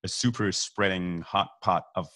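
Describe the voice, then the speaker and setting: Swiss-accented man, low voice, dry close sound, easygoing guest talk. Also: moderate pace, no room sound. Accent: Swiss German. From Switzerland. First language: Swiss German. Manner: relaxed, many restarts and fillers. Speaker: guest, event announcer. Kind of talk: casual video call chat.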